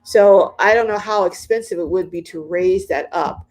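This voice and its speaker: A woman in her late sixties hosting a casual livestream, reading viewers' comments aloud and chatting back, often repeating herself and pausing with ums. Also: on the low side, darkish tone. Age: 60s. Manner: chatty, repeats phrases, frequent ums and restarts. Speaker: livestream host. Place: inside her van or RV.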